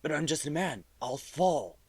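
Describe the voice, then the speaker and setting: Casting: male actor as an old miserly businessman, uneasy and wistful, very animated pitch, audio-play acting. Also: normal speed, husky, dry recording. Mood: timid, wary. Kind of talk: radio drama acting.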